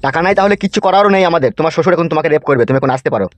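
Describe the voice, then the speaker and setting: A man's voice: on the high side, rapid-fire, no room sound, close to an everyday voice. A man voicing a corrupt, dismissive junior policeman in a comedy cartoon, with a curt manner. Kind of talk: Bengali comedy cartoon dubbing.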